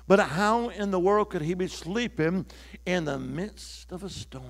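A male preacher with a high, gravelly voice delivers a sermon in a church. He calls out questions for the congregation to answer and swells into emphatic, forceful lines. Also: lively intonation, a little slow. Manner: call-and-response preaching, rising to emphatic shouts.

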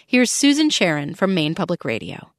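A woman hosting a radio show, introducing the next story in a clear, conversational presenting style. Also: on the low side, huge pitch swings, moderate pace, dry recording, studio quality. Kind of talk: scripted on-air introduction.

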